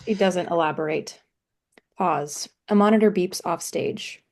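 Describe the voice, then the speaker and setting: A woman's voice: lowish voice, a little slow, darkish tone. A woman reading stage directions at a play table read in a matter-of-fact, scripted narration style.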